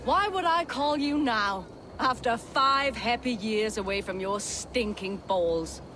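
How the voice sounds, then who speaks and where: An actress playing a scornful, sharp-tongued Viking noblewoman in a video game, biting and contemptuous. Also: fairly high pitch, animated, slow pace, slight background noise, full character voice. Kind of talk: video game cutscene acting.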